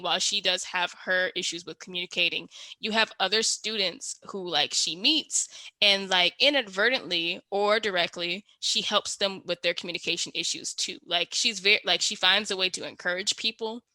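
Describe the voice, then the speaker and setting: A woman's voice: mid-range voice, normal speed, bright, forceful tone, no room sound. A woman co-hosting a podcast, talking enthusiastically about a series, with lots of "like". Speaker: podcast co-host. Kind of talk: casual podcast chat.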